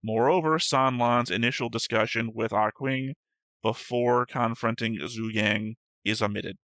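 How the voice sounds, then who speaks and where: A man with a bright, forward voice chats casually while reading through notes, stopping often to correct himself and swearing now and then. He is recorded dry and close on the mic, with no room sound. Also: mid-range voice, fairly even intonation, unhurried, no room sound. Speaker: reaction show host reading notes.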